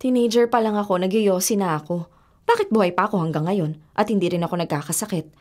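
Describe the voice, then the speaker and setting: An actress with a Filipino accent playing a carefree, stubborn young waitress in a radio drama, breezy and expressive. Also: female voice, mid-range voice, fast, lightly acted. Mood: defiant. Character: carefree, stubborn young pregnant waitress who smokes and gambles. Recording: faint music bed behind dialogue.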